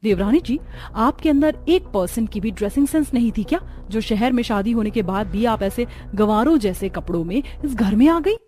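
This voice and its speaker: A woman's voice: fairly high pitch, animated, brisk, warm tone, lightly acted. A woman voicing a snobbish young modern daughter-in-law in a cartoon, sassy and peppering her Hindi with English. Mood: scornful.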